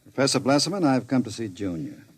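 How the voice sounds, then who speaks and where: An actor playing a suave, menacing gangster in a 1950s radio drama, sardonic and mockingly courteous. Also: male voice, medium pitch, very animated, quick pace, slightly rough.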